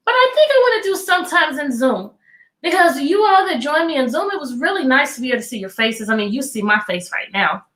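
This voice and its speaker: Bright, high-voiced woman teaching children. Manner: animated, encouraging, talking directly to children with many questions. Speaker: teacher hosting a children's read-aloud. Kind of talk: live educational talk to children online. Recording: echoey room sound.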